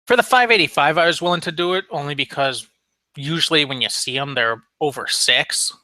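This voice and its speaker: A man's voice: a little high, fairly quick, pushed and bright, no room sound. A man chatting casually as a podcast co-host, rambling along with frequent ums and restarts. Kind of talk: casual chat about firearms.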